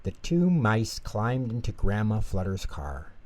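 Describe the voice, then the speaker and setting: A man narrating a children's bedtime story in an expressive, unhurried way. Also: lowish voice.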